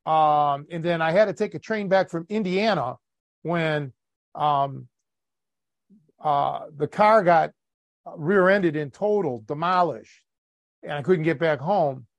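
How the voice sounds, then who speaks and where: American guy on a podcast, gravelly fry in his voice, rambles with lots of ums, dry close sound. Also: on the high side, slow pace, long pauses, no echo. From United States. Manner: rambling, with many fillers and restarts. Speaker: podcast host. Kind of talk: casual chat.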